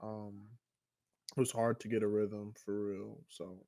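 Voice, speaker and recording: A man's voice: on the low side, little pitch movement, measured pace, dark tone, no room sound. A man in his twenties co-hosting a podcast, talking loosely and rambling in casual chat. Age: 20s. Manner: loose, rambling, leans on "you know what I'm saying". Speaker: podcast co-host.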